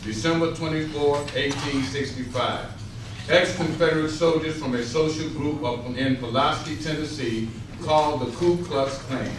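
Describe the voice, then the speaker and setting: A man's voice: on the high side, moderate pace. Man reciting in a very echoey room. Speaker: readers theater reader. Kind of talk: solemn scripted historical recitation at a rehearsal. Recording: very echoey room, distant mic, clear noise.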